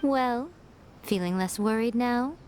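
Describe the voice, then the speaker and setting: A woman playing a self-assured, teasing fox shrine maiden in a video game, with a smooth, knowing delivery. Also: on the high side, slow pace, a little mellow, slight background noise. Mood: smug. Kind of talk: animated video game voice acting.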